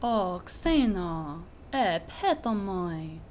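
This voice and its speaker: A woman acts out a solemn Sibyl of ancient Greece for an audio drama. The delivery is declamatory and epic, with words drawn out, over clearly audible noise and hum. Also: fairly low pitch, very animated, very slow, darkish tone, exaggerated voice. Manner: declamatory, stretched-out epic speech. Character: ancient Greek prophetess, a Sibyl, solemn and questioning.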